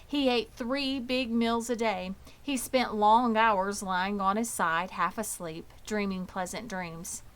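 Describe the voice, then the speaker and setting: A woman reading a children's story aloud as scripted narration, with expressive storytelling delivery. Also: medium pitch, slow, few pauses, clear, resonant tone. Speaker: audiobook reader.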